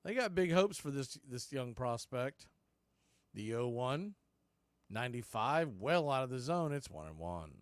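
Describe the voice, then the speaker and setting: A man's voice: mid-range voice, sing-song, a little slow, dry recording. An American man calling live baseball play-by-play for radio, in clipped phrases with conversational asides.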